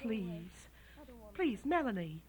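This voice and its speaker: A woman's voice: mid-range voice, very animated, slow pace, noisy background. An actress playing a kindly but blunt, motherly boarding-house landlady in a radio drama. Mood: sympathetic.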